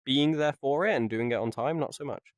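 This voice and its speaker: A man's voice: mid-range voice, brisk, no room sound, clean studio sound. A young man co-hosting a podcast, chatting casually with a joking, self-mocking manner and frequent laughs. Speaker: podcast co-host. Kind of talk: casual chat.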